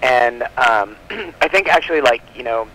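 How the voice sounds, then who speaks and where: Guy guesting on a radio chat, sharp bright voice, chatty and rambly, kinda normal pitch. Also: lively intonation, unhurried, poor audio. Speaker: guest, comic book publisher. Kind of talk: casual radio interview.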